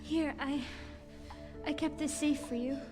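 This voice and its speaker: An actress playing a gentle, anxious young woman in a horror video game, speaking softly and hesitantly. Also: high-pitched, steady tone, a little slow. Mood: concerned. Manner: soft, hesitant, caring. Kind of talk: naturalistic video game acting. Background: game music.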